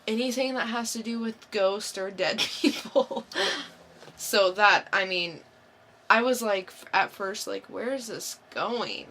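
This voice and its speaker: A woman's voice: medium pitch, bright, forceful tone, slight background noise. A woman co-hosting a podcast, chatting casually and informally about comics, often thinking aloud in a hesitant way. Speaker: podcast co-host reviewing comics. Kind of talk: casual chat.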